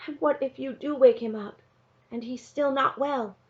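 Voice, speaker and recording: A woman's voice: high voice, fairly even intonation, moderate pace, dull audio, pitched above the performer's own voice, close to an everyday voice. Woman reading as a weary, earnest storybook mother. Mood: worried, pleading. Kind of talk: storybook character dialogue.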